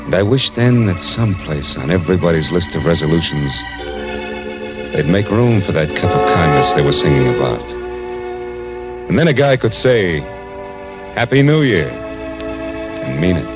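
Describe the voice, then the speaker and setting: An actor playing a hardboiled, world-weary private detective in old American radio noir style, with a wry, clipped delivery. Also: male voice, low voice, sing-song, normal speed, long pauses. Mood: brooding. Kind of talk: 1940s-50s American radio noir acting, narration. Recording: dull vintage radio recording, music behind.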